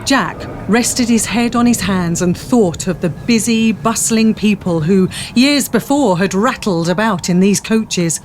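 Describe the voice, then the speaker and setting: A woman's voice: medium pitch, a little slow, few pauses, a little mellow, a little hiss or hum. A woman narrating a story from a script in a dramatic audio drama style.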